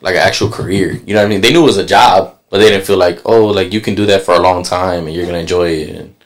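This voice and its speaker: A man from Puerto Rico with a very low voice chats about his barbering work. His speech is loose and easygoing, peppered with "like" and "you know". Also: fast. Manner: loose, conversational, frequent "like". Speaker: barber, podcast panelist. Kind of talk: casual panel chat.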